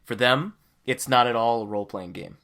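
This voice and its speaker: A man's voice: medium pitch, moderate pace, a bit bright. A man co-hosting a podcast, explaining things in a casual, conversational way. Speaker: podcast co-host.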